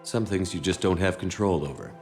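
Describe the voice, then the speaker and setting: A man playing a stern, steady space military commander in a video game, with measured, terse and authoritative delivery. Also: low voice, faint music behind, lightly acted. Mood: reassuring. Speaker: game protagonist. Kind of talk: cinematic video game acting.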